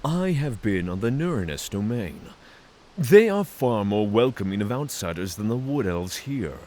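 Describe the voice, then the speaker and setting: A man's voice: on the low side, very animated, moderate pace, dark tone. A man playing a courteous, candid dragon in a fantasy audio drama, speaking in formal phrases. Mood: calm, candid. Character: giant male dragon in mortal company, courteous, candid. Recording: clear background hiss.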